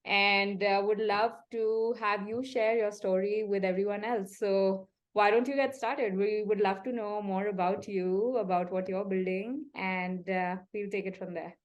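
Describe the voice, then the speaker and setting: A woman's voice: medium pitch, fairly even intonation, a little slow, hardly any pauses, highs cut off. Effusive woman interview host. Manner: effusive, hesitant with many fillers. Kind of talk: warm friendly interview opening.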